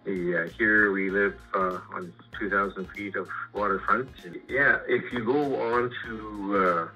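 A middle-aged man with a Canadian accent talks casually in a low, very forceful and husky voice. He rambles a little, halting and repeating words as he goes. It sounds like a phone call. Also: steady tone. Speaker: homeowner and sawmill customer. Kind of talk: casual phone chat about his projects. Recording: muffled lo-fi phone call, music underneath. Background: music.

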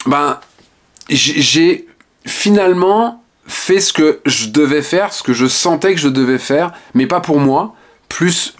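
A man in his fifties from the Ardennes, frankly telling his life story to the camera at home with hesitant fillers. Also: medium pitch. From Ardennes, France. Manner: frank and reflective, many hesitant "euh" fillers. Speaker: author and online speaker. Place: small home room with shelves and lamp.

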